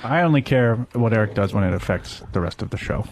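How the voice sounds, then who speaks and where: A man who works on a radio show, talking casually and haltingly about work. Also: on the low side, lively intonation, background noise. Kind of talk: casual workplace talk.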